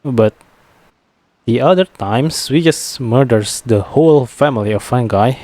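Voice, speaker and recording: A man giving casual live gameplay commentary as a streamer, in short halting reactions with frequent tag questions. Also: medium pitch, dark tone, no room sound. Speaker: game streamer.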